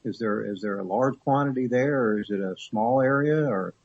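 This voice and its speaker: A man's voice: mid-range voice, normal speed, darkish tone, breathy edge, no room sound, dull audio. A man asking a radio guest questions in a curious, musing way. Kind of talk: conversational questions.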